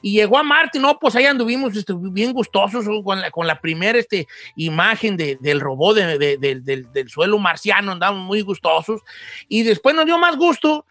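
A man's voice: sits high, expressive, normal speed, bright, forceful tone, no room sound. A man voicing a folksy old Mexican ranchero radio host who jokes and stammers, with a Mexican Spanish accent. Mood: folksy joking. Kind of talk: comedic radio chat.

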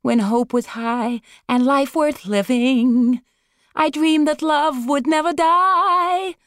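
A woman reading as an older glamorous film star in a literary story. The voice sits high and grand, with theatrical delivery, in a dry recording. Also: steady tone, takes it slowly, fairly bright tone, dry recording. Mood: singing. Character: glamorous ageing actress, dramatic and grand.

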